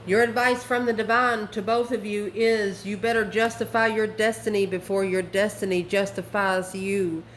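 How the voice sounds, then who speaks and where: A woman's voice: mid-range voice, level tone, moderate pace, hardly any pauses. Folksy woman reading tarot in echoey room. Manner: folksy, emphatic, addresses listeners with endearments. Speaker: tarot card reader and channel host. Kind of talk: informal spoken tarot reading. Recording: roomy echo, faint background noise.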